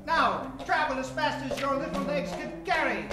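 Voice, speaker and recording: A knowing lady professor , played by a woman in a radio adventure serial, high-voiced and bossy. She sounds echoey, with music behind her. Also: expressive, moderate pace. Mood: brisk. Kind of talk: old-time radio play acting.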